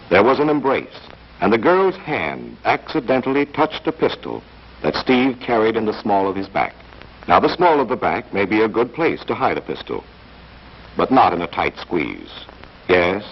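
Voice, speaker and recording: A man's voice: on the low side, slightly rough. A man narrating a 1940s training film in a dramatic, hard-boiled scripted style, as if talking to the character. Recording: muffled, faintly hissy 1940s film soundtrack.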